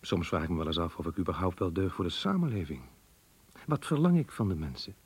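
An actor playing a troubled, self-doubting married schoolmaster in a radio play, speaking in a measured, brooding way. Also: male voice, sits low, expressive, slight background noise. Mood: self-doubting, remorseful. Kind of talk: Dutch radio play acting and monologue.

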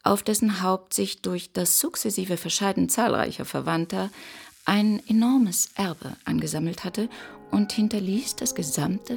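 An actress playing a composed, worldly storyteller in a literary radio drama, deliberate and poised, with a gravelly, husky voice. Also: female voice, medium pitch. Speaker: narrator actor in a radio play.

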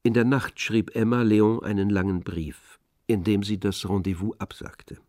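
A man narrating a literary radio drama in a measured, composed, knowing manner. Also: fairly low pitch, mellow, dry recording, studio quality, lightly acted.

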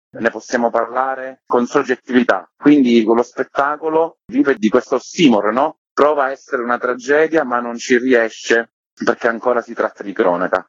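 A man's voice: fairly low pitch, breathy edge, no echo, lo-fi sound. A man speaking in an earnest, explanatory way, as a theatre maker being interviewed. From Sicily, Italy. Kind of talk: thoughtful interview talk.

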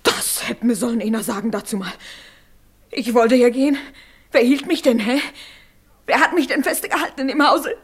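An actress playing a bitter, defiant working-class wife in a radio drama, blunt and rustic. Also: female voice, fairly high pitch, normal speed, pauses often, breathy edge. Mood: agitated, defiant. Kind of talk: naturalistic German radio drama acting.

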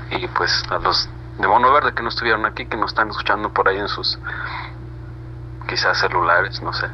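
A man's voice: deep voice, steady tone, moderate pace, long pauses. A Mexican man being interviewed as a band member, chatting casually in a slangy, rambling way with frequent tag questions. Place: remote internet call. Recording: muffled, noisy internet video call.

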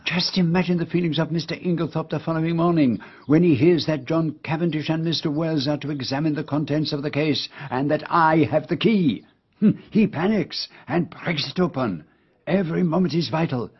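An astute Belgian detective in a radio drama. His French-accented voice is husky, with formal, precise phrasing that savours each explanation in a fussy, teasing way. The recording is a little dull and lo-fi. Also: on the high side, brisk, no echo. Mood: dramatic explaining.

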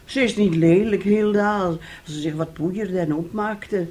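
An actress as a bitter, nagging middle-aged wife. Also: female voice, mid-range voice, a little slow, darkish tone, lightly acted. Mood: dry, catty. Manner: reproachful, sarcastic, theatrical. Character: bitter, nagging, mocking wife of a failed composer. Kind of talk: radio drama acting.

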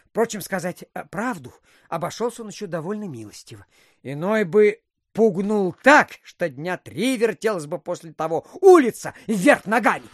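A man's voice: sits high, expressive, moderate pace, dry recording, clean studio sound. An actor playing a garrulous, self-important provincial official who rambles through his stories with filler phrases, in an audio drama. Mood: animated storytelling. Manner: digressive, full of filler phrases. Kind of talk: theatrical audiobook radio play.

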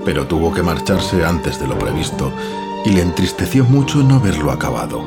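A man playing a farmer and fond father, narrating a horror audio drama in a measured, deliberate way. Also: deep voice, big pitch swings, vocal fry, full character voice. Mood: wistful, tender. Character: farmer father, puzzled, fond of his daughter. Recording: music behind the voice. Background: music.

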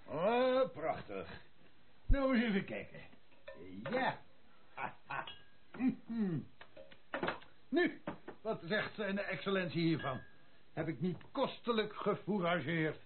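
An actor playing a jovial old soldier in a radio drama, with a flowery, mock-obedient manner and a fondness for food. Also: male voice, high-pitched, very animated, slow pace, long pauses, full character voice. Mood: gleeful. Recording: tinny old radio recording, faint noise.

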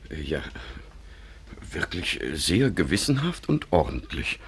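An actor playing a mild, hesitant village pastor in a 1950s radio play, husky and halting, politely spoken. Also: male voice, a bass voice, sing-song, slight background noise, exaggerated voice. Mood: mildly approving. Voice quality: very husky, breathy.

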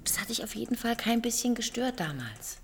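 A woman's voice: on the high side, big pitch swings, brisk, a little hiss or hum. A woman playing a tired, pragmatic young girlfriend with a dry, understated manner in a naturalistic radio drama. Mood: calm, reasoning. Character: tired, pragmatic pregnant girlfriend, dryly teasing.

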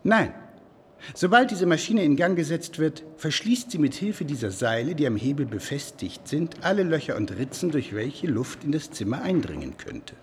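Proud medieval inventor in a german radio play, highish animated voice, explaining emphatically. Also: male voice, normal speed, a little hiss or hum. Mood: proudly explaining. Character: proud male medieval inventor defending his machines.

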